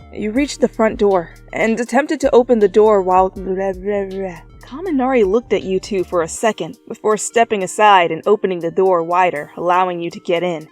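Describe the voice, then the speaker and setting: A woman's voice: mid-range voice, darkish tone. A woman reading fanfiction as a channel host, with dramatic narration and goofy, self-mocking, casual asides. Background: faint music.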